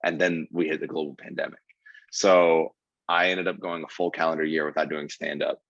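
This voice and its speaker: A young man from Ohio with a very low voice talks earnestly about his path, padding his sentences with fillers. The sound is dry and a little dull, like a close podcast mic with the top end rolled off. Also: flat delivery, a little fast, a bit bright, no echo. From Ohio, USA. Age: 20s. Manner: earnest storytelling with many fillers. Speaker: guest comedian. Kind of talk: casual podcast interview.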